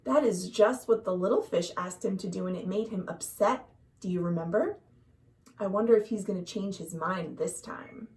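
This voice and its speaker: A woman reading a picture book aloud to children as a storytime host, expressive and deliberate. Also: mid-range voice, recorded from a distance, slight background noise, low-quality recording. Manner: dramatic, deliberate, addresses young listeners. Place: at home.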